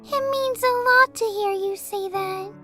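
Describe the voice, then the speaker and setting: A woman voicing a gentle, timid pegasus mare in a storybook tale, soft and delicate, hesitant and apologetic. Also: extremely high pitch, unhurried, music in the background, voice pushed up. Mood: grateful.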